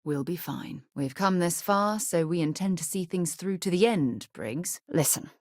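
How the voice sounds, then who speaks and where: An actress voicing a composed, principled woman who leads an expedition in a video game, speaking in a measured, articulate way into a studio microphone. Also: lowish voice, expressive, dry recording. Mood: steady. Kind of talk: game voice lines. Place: voice recording booth.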